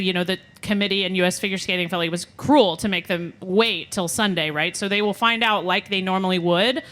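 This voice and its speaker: Bright-voiced woman podcast co-host. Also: fairly low pitch. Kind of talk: unscripted live podcast discussion. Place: live show in front of an audience.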